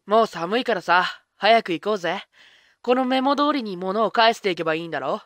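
A woman's voice: medium pitch, lively intonation, fairly quick, no room sound, natural voice. A woman playing a careless, tomboyish young witch in an anime-style fan voice drama, talking in a casual boyish way. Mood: carefree. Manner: casual, boyish rough speech.

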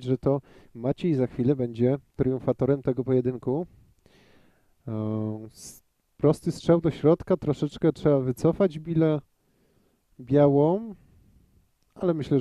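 A man giving live pool commentary in a measured way, thinking aloud with frequent hesitations. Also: mid-range voice, dark tone, no echo.

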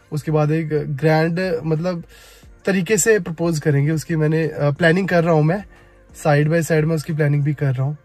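A man's voice: on the high side, a little mellow. Young man chatting in a car. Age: young adult. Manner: chatty and teasing, talks with his hands. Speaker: vlogger. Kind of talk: casual vlog chat. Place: inside a parked car. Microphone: phone camera at arm's length.

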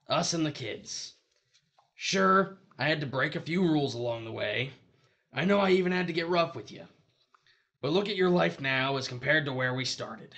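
A man playing a dead, abusive hustler of a husband in an audio drama, pleading and justifying himself in a gravelly voice. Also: mid-range voice, fairly bright tone, close to an everyday voice.

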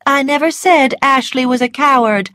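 A woman voicing a gentle but firm young woman who speaks earnestly, with a trembling resolve, in a storybook reading. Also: high voice, normal speed, no echo, clean studio sound, voice pushed up.

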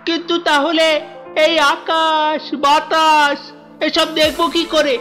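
A woman's voice: high-pitched, little pitch movement, takes it slowly, pushed and bright, full character voice. A woman voicing a cocky, stubborn young cartoon turtle who talks in a defiant, dismissive, grumbling way. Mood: sulky. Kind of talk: children's cartoon dubbing. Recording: music behind the voice.

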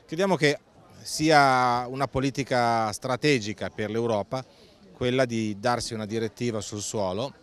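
A middle-aged campaign coordinator giving an earnest interview at a fair stand, speaking into a close handheld mic with a few hesitant fillers. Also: male voice, medium pitch, moderate pace, fairly bright tone, smooth. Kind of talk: on-camera interview statement. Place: indoor stand at a busy food fair. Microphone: handheld mic close below the face.

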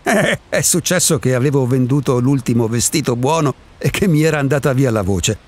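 An actor in a radio drama playing an eccentric, disillusioned male recluse who muses philosophically with theatrical rhetorical questions, in a husky voice. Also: medium pitch, animated, a little fast. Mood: wry.

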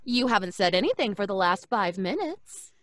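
A woman's voice: mid-range voice, big pitch swings, normal speed, fairly bright tone, no room sound. A woman playing a brash, fun-loving young wisecracker in an old-time radio drama, with a sassy, playful drawl. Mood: teasing.

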